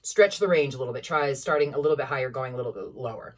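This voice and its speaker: Low-voiced woman teacher leading a warm-up. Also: racing, distant mic. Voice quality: low-placed speaking voice. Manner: encouraging, instructive, demonstrates vocal sounds. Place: small room at home with a piano.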